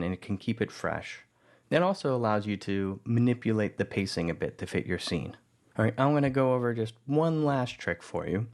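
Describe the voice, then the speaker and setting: A man narrating a tutorial on music editing in a relaxed, explanatory way. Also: on the low side. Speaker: audio drama producer teaching music editing. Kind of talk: tutorial voice-over.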